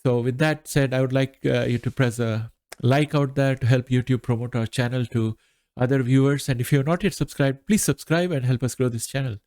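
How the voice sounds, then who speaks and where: A middle-aged man with a nasal voice from an allergy, hosting a solo market commentary with measured delivery and frequent pauses. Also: mid-range voice, fairly even intonation, darkish tone, no room sound. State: recovering from allergy. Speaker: finance channel host.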